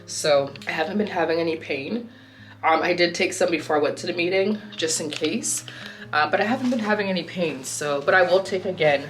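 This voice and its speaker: Low-voiced chatty woman vlogger. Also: a little fast, echoey room, slight background noise. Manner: chatty, rambling, self-correcting. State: sore throat, slightly nasal. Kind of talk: casual vlog chat to camera.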